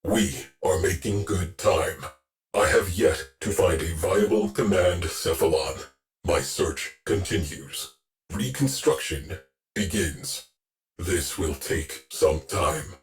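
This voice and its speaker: A very low-voiced, weary military ship intelligence, speaks in clipped, solemn phrases. The delivery is slow and stern, like a veteran officer briefing a crew in a sci-fi game, with faint music underneath. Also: male voice, animated, full character voice. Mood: terse, businesslike. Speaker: mission control and ship intelligence. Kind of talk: scripted video game mission briefing.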